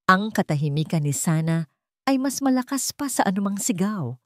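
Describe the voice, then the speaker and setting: A woman with a Filipino accent narrating a scripted dramatic story in a measured, solemn way. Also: medium pitch, sing-song, dry recording, clean studio sound.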